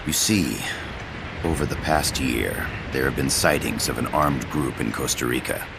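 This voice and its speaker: An actor playing an earnest older professor who explains things in a formal, measured way, over game music. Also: male voice, extremely low pitch, gravelly, very noisy. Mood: explaining.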